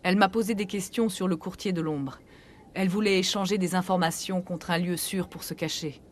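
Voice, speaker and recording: A woman's voice: lowish voice, fairly even intonation, fairly quick, a little hiss or hum, lightly acted. A woman playing an earnest, frank clinic doctor in a video game, explaining things seriously. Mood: shaken, earnest. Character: earnest female human clinic doctor, shaken but frank.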